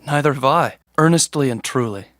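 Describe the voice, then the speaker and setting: A man's voice: medium pitch, a little fast, fairly bright tone, no room sound, studio quality, close to an everyday voice. A man playing a despairing, lovesick young shepherd in an audio drama, speaking in a plaintive, melodramatic way. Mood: tender, earnest.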